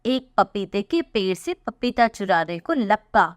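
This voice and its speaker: A woman's voice: mid-range voice, sing-song, a little slow, noisy background. A woman narrating a scripted children's moral story.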